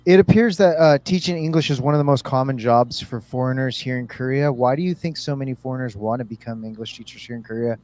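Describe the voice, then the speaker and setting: A man's voice: medium pitch, quick pace, hardly any pauses, darkish tone. A man presenting a street-interview video and putting questions to guests in an upbeat way.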